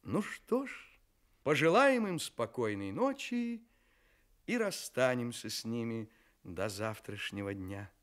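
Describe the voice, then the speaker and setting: A man's voice: mid-range voice, very animated, slow. A man narrating a children's radio play, confiding in his young listeners as a storyteller.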